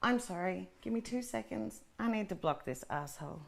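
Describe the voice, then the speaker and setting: A woman's voice: mid-range voice, expressive, moderate pace, vocal fry, slight background noise. An actress in her forties playing a profane, panicky woman who scolds herself and talks herself through her fear, short of breath. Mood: defiant. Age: 40s. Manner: swears heavily, talks to herself. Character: frazzled forty-year-old woman, profane, self-scolding. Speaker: adult content creator. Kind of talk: dramatic monologue.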